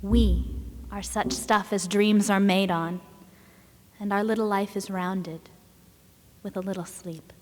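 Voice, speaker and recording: Female reader, solemn and deliberate, dramatic verse recital, medium pitch, long hall reverb. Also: fairly even intonation, a little hiss or hum.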